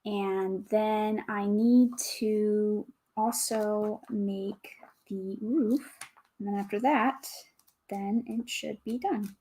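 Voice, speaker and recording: A woman with a calm, soothing voice, chatting casually with viewers as a livestream host, greeting and thanking them as she goes. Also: medium pitch, extremely slow, husky. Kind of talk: casual livestream chat while building.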